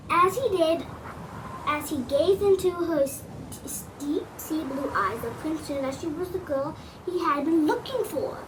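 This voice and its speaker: A very high-voiced female storyteller reads a children's tale aloud. Her delivery is halting, as she stumbles over words and repeats them. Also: noisy background.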